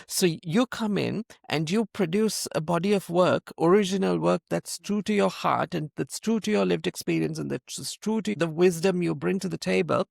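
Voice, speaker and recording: Gravelly interview host from Sri Lanka. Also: male voice, fairly high pitch, no echo, clean studio sound. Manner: emphatic, repeats points for stress. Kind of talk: conversational interview.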